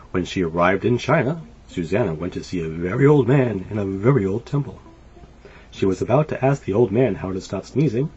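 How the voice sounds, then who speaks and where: A man reading a children's story aloud in an animated, expressive way. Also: on the low side, husky. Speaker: storybook reader. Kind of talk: scripted children's story narration. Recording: dull lo-fi sound. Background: light music.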